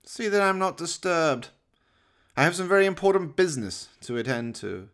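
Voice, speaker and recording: A man's voice: a little high, very animated, normal speed, a bit bright, clear, resonant tone, pitched above the performer's own voice. A man playing an evil scheming mad scientist in a horror radio play, theatrical, pompous and sneering. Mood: pompous, dismissive. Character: evil scheming mad scientist doctor, mocking and prone to rage.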